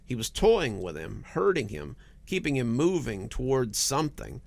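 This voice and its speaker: A man reads scripted horror fiction as a storytelling host. His delivery is dramatic and very varied in pitch, with noticeable fry in the voice. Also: big pitch swings, normal speed, no echo. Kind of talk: scripted first-person horror narration.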